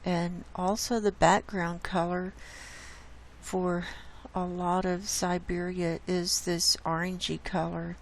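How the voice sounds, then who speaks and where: A woman speaks in a hoarse, low-pitched voice. She keeps her tone flat and her pace slow, walking viewers through charts on her screen in a casual, unhurried way. A faint hiss sits behind her. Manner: unhurried, explains data on screen. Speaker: channel host presenting climate data. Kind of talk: casual screen-share presentation.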